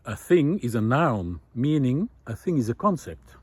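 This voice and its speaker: A man of ordinary middle pitch speaks while walking a forest path outdoors, recorded on a portable mic. He thinks aloud with frequent pauses and fillers, then pushes his voice up and louder when he reaches a point he cares about. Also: sing-song, slightly rough, no room sound. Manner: thoughtful, many pauses and fillers, rising emphasis on key points. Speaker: nature video essayist. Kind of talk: reflective walking monologue.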